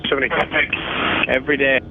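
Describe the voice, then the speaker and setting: A man's voice: medium pitch, little pitch movement, a little fast. A man working as an air traffic controller, giving clipped procedural calls over the radio. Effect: radio transmission. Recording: noisy, narrow-band air traffic radio.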